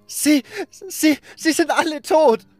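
A man's voice: very high voice, darkish tone, no echo, faint music behind, voice pushed up. A man voicing a young male mage in an audiobook, panicked and grief-stricken, stammering as he speaks. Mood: hysterical. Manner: stammering, hysterical, halting. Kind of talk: audiobook character acting.

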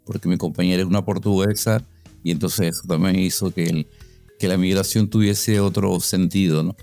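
A man speaks as an interview guest with a very low, gravelly voice. He pauses and restarts often and tags his phrases with little questions. His tone is dark, with faint music behind him. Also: steady tone. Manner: hesitant, with tag questions. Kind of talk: relaxed interview answers.

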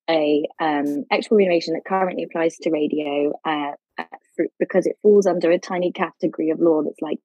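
A woman's voice: fairly low pitch, mellow, breathy edge, no echo, highs cut off. A woman speaking as an expert guest, explaining legal points in an informal, plain-spoken way. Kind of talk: explaining law in a casual discussion.

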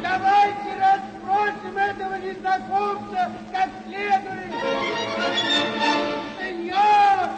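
A man's voice: very high-pitched, completely flat delivery, slow, dull audio. An actor in an old radio play voicing a wary, flustered squire calling out to his master. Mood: alarmed. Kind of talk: old radio drama acting. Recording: echoing voice under loud string music.

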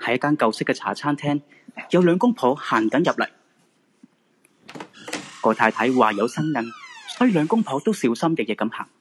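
A man's voice: mid-range voice, big pitch swings, normal speed, long pauses, slight background noise. A man with a Hong Kong Cantonese accent narrating a scripted ghost-story radio drama. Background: background music.

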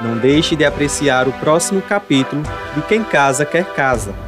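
High-pitched Northeastern Brazilian male narrator over music. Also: animated, moderate pace. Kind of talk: scripted radio soap narration.

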